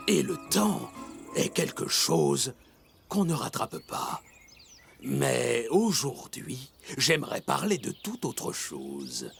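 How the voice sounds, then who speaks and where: A man voicing a wise, patient old animal teacher, measured and moralizing, in a cartoon dub. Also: mid-range voice, gravelly, a bit bright, exaggerated voice. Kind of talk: French cartoon dubbing. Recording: music under the voice.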